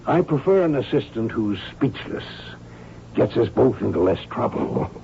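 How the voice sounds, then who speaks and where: Brief: genial retired male crook, older, teasing and courtly, swooping gravelly delivery, vintage radio play. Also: medium pitch, sing-song, normal speed. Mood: wry. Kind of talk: radio drama acting. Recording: dull, noisy old radio broadcast.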